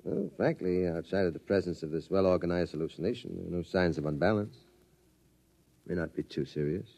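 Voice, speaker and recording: An actor playing a calm base doctor in a 1950s radio drama, measured and soothing. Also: male voice, low voice, vocal fry. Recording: old 1950s radio broadcast.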